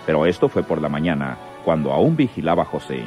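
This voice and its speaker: A man's voice: rumbling low, big pitch swings, fast, a little mellow, soft background music. A man acting as the solemn narrator of a radio crime serial. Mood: dramatic, suspenseful. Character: solemn male storyteller of a rural crime serial.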